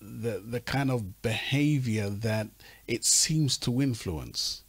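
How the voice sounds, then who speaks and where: A man hosting a radio discussion in an earnest, deliberate way, repeating phrases for emphasis. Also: mid-range voice, slightly rough, dry recording. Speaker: radio talk show host. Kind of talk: measured conversational radio discussion.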